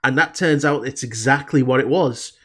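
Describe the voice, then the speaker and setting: A man's voice: mid-range voice, moderate pace, fairly bright tone. A young British man with a slight head cold, chatting casually about a show with constant fillers and restarts. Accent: British English. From Britain. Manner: rambling, many fillers and restarts. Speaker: reaction video host. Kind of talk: casual review chat.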